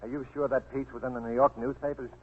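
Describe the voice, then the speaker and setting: A man's voice: mid-range voice, level tone, quick pace, slightly rough, lightly acted. A man acting a fretful, exasperated newspaper boss in a 1940s radio drama. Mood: flustered, exasperated. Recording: tinny, band-limited 1940s radio recording.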